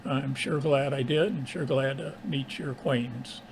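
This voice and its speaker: A man's voice: medium pitch, normal speed, gravelly, slight background noise, poor audio. A man working as an amateur radio operator, chatting through a radio contact in procedural fashion and reading out call signs phonetically. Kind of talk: ham radio contact chat.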